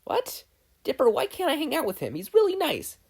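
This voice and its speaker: Narrator putting on a high, bright young girl. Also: wildly swooping pitch, brisk, exaggerated voice. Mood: pleading. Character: earnest, bright young girl, protective of her friend.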